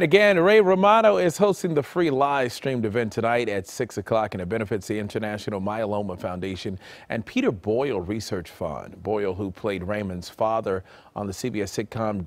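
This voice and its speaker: A middle-aged American man presenting upbeat morning TV news in an effusive manner. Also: mid-range voice. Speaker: news anchor. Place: TV news studio. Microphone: lapel mic.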